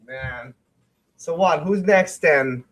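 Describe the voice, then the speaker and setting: A man's voice: a little high, takes it slowly, a bit bright, slight background noise. A man co-hosting a sports broadcast with casual, exclamatory reactions, often repeating himself. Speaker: radio co-host.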